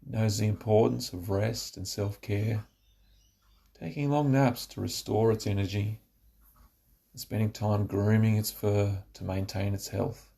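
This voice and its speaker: A man narrating a scripted guided relaxation, giving slow, instructive phrases with pauses. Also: lowish voice, steady tone, creaky, mellow.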